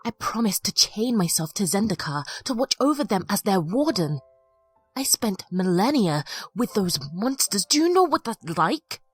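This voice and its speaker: A woman's voice: mid-range voice, very animated, moderate pace, breathy edge, faint music behind. A woman playing an ancient, bitter stone mage in an audio drama, accusing and commanding.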